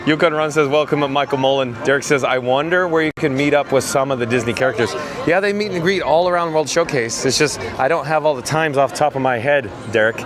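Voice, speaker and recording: Man, hoarse voice, chatty live-stream vlogger, outdoors, reads chat aloud. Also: medium pitch, quick pace, over music. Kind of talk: live-stream walking commentary. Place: outdoors at a theme park.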